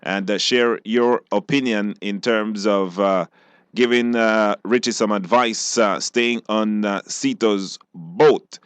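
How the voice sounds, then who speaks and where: A man's voice: on the low side, steady tone, slow pace, smooth, no echo. A man with a Belizean accent hosting a live radio call-in show and talking to guests and listeners.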